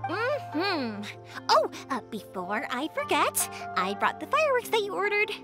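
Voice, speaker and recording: A cheerful young fireworks maker, chatters in a very high, bubbly voice that leaps up and down. She sounds energetic and reassuring. Game music plays clearly underneath. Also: measured pace, full character voice. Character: cheerful young fireworks-shop woman, lively. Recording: game dialogue over music.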